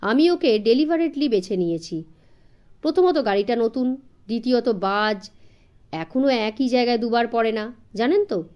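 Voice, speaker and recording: A woman voicing an affable, talkative gentleman traveller in an audiobook, wry and chatty, mixing in English. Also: a little high, animated, moderate pace, lightly acted. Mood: amused.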